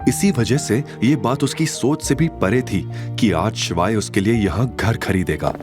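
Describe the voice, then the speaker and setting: A man's voice: fairly low pitch, unhurried, over music. A man narrating an audio drama in a dramatic, scripted storytelling style.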